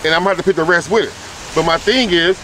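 A man's voice: high voice, fast talker, fairly bright tone, slight background noise, poor audio. A man giving a blunt, profane lecture in casual street talk, speaking into a handheld phone in a rainy parking lot. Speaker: street interviewer.

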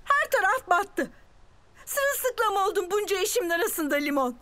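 A very high, sharp cartoon mom, exasperated and scolding. The voice swoops in pitch and stretches its words, with faint music behind. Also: sing-song, moderate pace, exaggerated voice. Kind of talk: children's cartoon acting.

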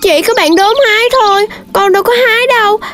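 A woman's voice: extremely high pitch, brisk, over loud music. A woman voicing a timid little animal child in a children's cartoon, childlike. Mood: pleading. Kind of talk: children's cartoon dub acting.

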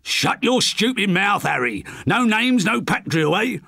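A thick-set middle-aged businessman who blusters and threatens, as a male narrator puts him on. His voice climbs high as he barks, and the reading is close and dry. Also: very animated, measured pace, vocal fry, fairly bright tone, no echo, heavily acted. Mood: angry. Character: gruff bullying middle-aged British businessman.

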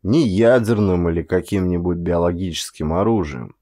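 A man narrating an audiobook in a slow, brooding first-person voice. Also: sits low, dark tone, no echo, studio quality.

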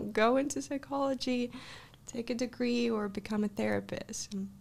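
A woman's voice: fairly high pitch, fairly even intonation, moderate pace, vocal fry, darkish tone. A woman from Russia with a Russian accent, telling her personal story as a guest in a casual interview, close on a studio microphone. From Russia, born in Kazakhstan. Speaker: life coach, podcast guest. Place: small podcast studio, indoors. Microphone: close studio microphone, headphones.

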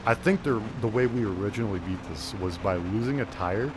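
A young man giving casual, excitable commentary while playing a video game, talking to himself through a headset mic. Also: lowish voice, fairly quick, background noise. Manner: excitable, self-mocking, talks to himself. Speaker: gaming YouTuber. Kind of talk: casual let's-play commentary.

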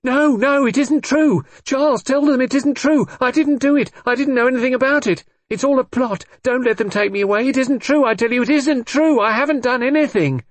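Man voicing a high-pitched, frightened, fretful woman. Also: very animated, normal speed, darkish tone, slightly rough, no room sound. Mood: hysterical, screaming. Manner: nervous, pleading, complaining. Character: frightened, hysterical young wife protesting her innocence. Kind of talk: audiobook character voice.

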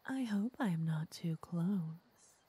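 A woman playing a flirty, teasing witch in an ASMR roleplay, softly and often in whispers, intimate and coaxing. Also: fairly low pitch, expressive, slow, heavily acted. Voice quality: soft, breathy, often whispering. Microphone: close microphone.

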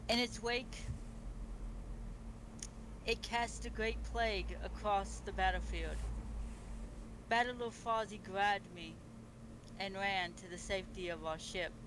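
A man playing a solemn beastman empress in online game role-play, making a formal, halting speech. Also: high-pitched, fairly even intonation. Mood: grave storytelling. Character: Empress Dragon, solemn ruler of fantasy beastmen. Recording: noisy amateur game voice chat.

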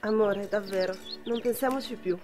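A woman's voice: medium pitch, normal speed, music in the background, lightly acted. A young woman, a student actor playing an affectionate, coaxing wife in an amateur radio drama. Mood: tender. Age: young adult. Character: affectionate, soothing young-looking wife, Natura.

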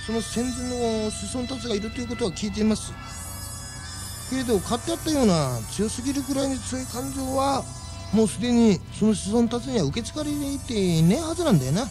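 High-pitched, folksy alien explainer. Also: male voice. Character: folksy, explaining alien man from a galactic federation. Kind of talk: Japanese radio drama acting.

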